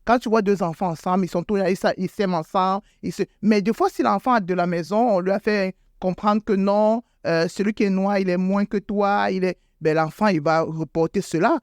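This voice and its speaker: A woman's voice: medium pitch, steady tone, a little fast, hardly any pauses, a little mellow, no echo, studio quality. A woman who owns a beauty salon, answering interview questions in a hesitant way, with many fillers and repeated words, and rising sharply when she stresses a point. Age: adult with school-age children. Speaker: beauty salon owner, guest.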